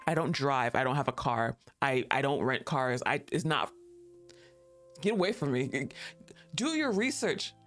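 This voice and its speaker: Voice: mid-range voice, lively intonation, a little slow, fairly bright tone, faint music behind. A streamer chatting casually and thinking aloud while playing a game, laughing often and talking back at the screen. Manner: thinks aloud with fillers and restarts.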